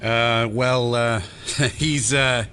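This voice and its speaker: An actor playing a nervous police deputy who hesitates and evades in an audio drama. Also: male voice, medium pitch, fairly even intonation, extremely slow, pushed and bright, slight background noise. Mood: flustered. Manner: hesitant, stammering. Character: flustered, evasive male police deputy.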